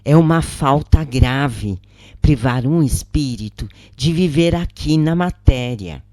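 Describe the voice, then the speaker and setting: A troubled, questioning woman prisoner , read by a female narrator, speaks with a Brazilian accent. Her delivery is earnest, hesitant and slow, in a dry audiobook recording. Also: extremely low pitch, lively intonation, dark tone, dry recording, exaggerated voice. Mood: earnest, concerned.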